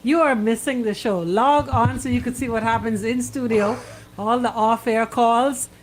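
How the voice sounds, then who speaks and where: A woman's voice: a little high, fairly even intonation, moderate pace, background noise. A woman with a Bahamian accent co-hosting a radio show in a casual, bantering style. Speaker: radio co-host. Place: radio studio.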